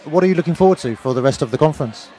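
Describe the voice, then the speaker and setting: A man's voice: a little high, lively intonation, rapid-fire, mellow. A man interviewing attendees at a teaching conference, asking polite, encouraging questions. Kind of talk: conference vox-pop interview. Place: busy conference venue.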